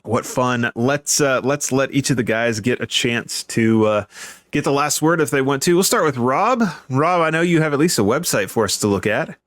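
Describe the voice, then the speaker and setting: A man's voice: mid-range voice, animated, fairly bright tone, no echo, clean studio sound. A man hosting a casual chat show, talking in an easygoing, jokey way. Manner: easygoing, jokey, quick to chuckle. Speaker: podcast host.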